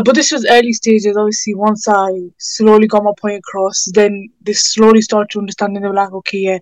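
A woman's voice: mid-range voice, a little mellow, dry recording, low-quality recording. A sixteen-year-old young woman with a British accent, giving informal, earnest and somewhat hesitant answers in an interview. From United Kingdom. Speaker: interviewee, student campaigner.

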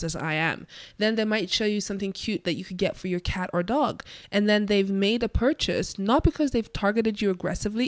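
A woman's voice: mid-range voice, dry recording, clean studio sound. A woman hosting her own podcast, talking through ideas in a conversational, explanatory way, her voice nasal and congested from a cold. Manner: conversational, explanatory, thinking aloud. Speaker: podcast host. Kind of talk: solo podcast monologue.

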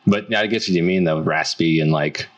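A man with a deep voice co-hosting a podcast in a casual, laid-back chat. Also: moderate pace, lo-fi sound. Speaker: podcast co-host.

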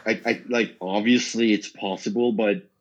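A man's voice: fairly low pitch, fairly even intonation. Young man, stammering and laughing. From Norway. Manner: stammering restarts, quick laughs. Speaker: interview guest, racer. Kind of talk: casual interview chat. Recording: dull lo-fi sound.

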